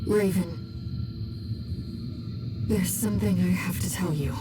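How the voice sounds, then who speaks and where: A woman voicing a gentle, earnest bodiless spirit in a video game, speaking softly and solemnly in a measured, confiding way. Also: on the low side, over music. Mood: solemn confession. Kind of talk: video game voice acting, intimate confiding speech.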